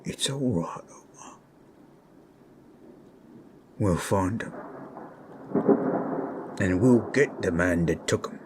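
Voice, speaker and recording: A man playing a gruff, protective, steady adventurer in a fantasy roleplay, speaking tersely but reassuringly. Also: low-pitched, takes it slowly, long pauses. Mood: somber, reassuring. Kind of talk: fantasy roleplay audio acting. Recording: storm noise behind. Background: rain and thunder.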